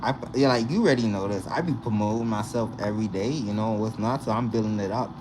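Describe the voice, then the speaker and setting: A man's voice: fairly low pitch, quick pace. Chatty young man, noisy background. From Bahamas. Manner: animated, repeats "you understand?" to the viewer. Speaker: vlogger. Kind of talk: casual direct-to-camera chat. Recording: noisy recording with vehicle sounds behind.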